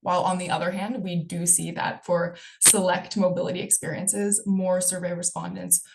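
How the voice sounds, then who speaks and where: A woman's voice: on the low side, normal speed, vocal fry, noisy background. Woman presenting survey data. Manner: steady, explanatory, data-focused. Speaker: webinar presenter.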